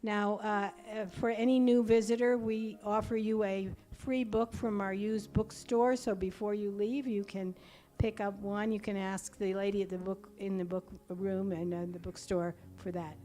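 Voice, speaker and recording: A woman leading a church service, chatting with the congregation and welcoming newcomers in a halting, friendly way. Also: mid-range voice, little pitch movement, warm tone. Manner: chatty, halting with fillers, greets newcomers by name. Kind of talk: warm welcoming announcements to a congregation. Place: church hall.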